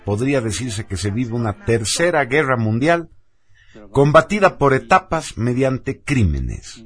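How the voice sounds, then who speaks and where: A man presenting radio news, reading scripted narration. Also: mid-range voice.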